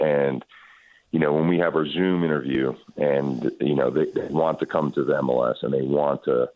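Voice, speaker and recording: A man, a soccer coach, answering radio interview questions in a halting, thoughtful way, often repeating words as he works out his point. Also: extremely low pitch, steady tone, dry recording. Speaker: professional soccer head coach. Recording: muffled narrowband phone line.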